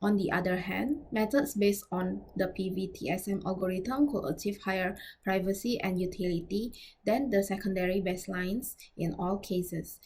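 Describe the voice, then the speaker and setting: Woman reading out a research talk, kinda husky voice, formal and careful, a bit halting. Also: medium pitch, warm tone, low-quality recording. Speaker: researcher presenting a paper. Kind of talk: scripted academic presentation.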